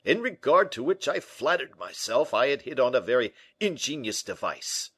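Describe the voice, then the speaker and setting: A man's voice: mid-range voice, very animated, moderate pace, a bit bright, husky, pitched above the performer's own voice. Man acting a lugubrious, blustering rich nobleman, heavily emphatic. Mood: proud, emphatic. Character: Porthos, vain rich big nobleman, melancholy and blustering. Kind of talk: audiobook character acting.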